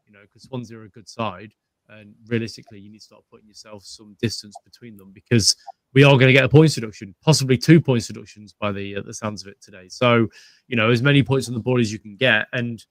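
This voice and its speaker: A man's voice: mid-range voice, fairly bright tone, dry recording. A man co-hosting a casual football chat, hesitant with many fillers and restarts. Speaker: co-host, fan pundit.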